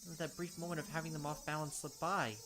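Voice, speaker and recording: A man reading a visual novel's text aloud as a let's play narrator, dramatic, with quick asides of his own. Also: on the high side, flat delivery, heavy background noise.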